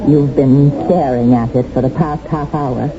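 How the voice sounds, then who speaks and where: A woman's voice: very deep voice, fairly even intonation, normal speed. An actress playing a scarred, frank woman professor in a radio drama, with a direct and pointed way of talking. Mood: frank, challenging. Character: scarred female Latin American literature professor, frank and vengeful. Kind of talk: 1970s radio drama acting. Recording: dull old radio recording, noisy background.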